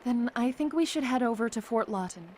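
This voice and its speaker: A woman's voice: fairly high pitch, lively intonation, brisk, darkish tone. A woman playing a level-headed survivor in an apocalypse audio drama, firm and reasonable as she keeps the peace. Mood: reasoning. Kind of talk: fan audio drama acting.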